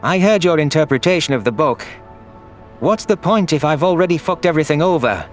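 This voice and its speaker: A man's voice: a little high, lively intonation, a little fast, soft background music, pitched above the performer's own voice, natural voice. A man voicing a bitter, defensive young male alien soldier, pleading intensely in a sci-fi audio drama.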